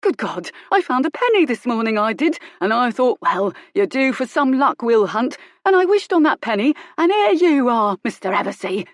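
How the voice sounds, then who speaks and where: A woman's voice: on the high side, very animated, moderate pace, hardly any pauses, no room sound, clean studio sound, pitched above the performer's own voice. A woman putting on a gloating brutish bounty hunter. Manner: boastful, leering. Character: brutish coarse male bounty hunter, greedy and crude.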